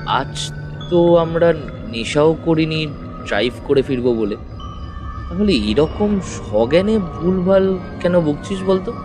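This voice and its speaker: A man's voice: on the high side, lively intonation, slow. An actor playing an impatient, irritable young city man in a horror audio drama. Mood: exasperated. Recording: loud music over the voice.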